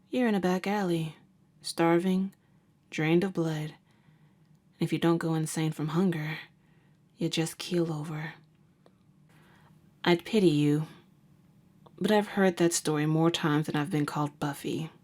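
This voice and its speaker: A woman's voice: low voice, steady tone, a little mellow. A woman playing a jaded, sardonic vampire hunter who works as a nurse, talking in a wry, slangy way in an ASMR roleplay. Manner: wry, casual, slangy, unhurried. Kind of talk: ASMR roleplay audio drama.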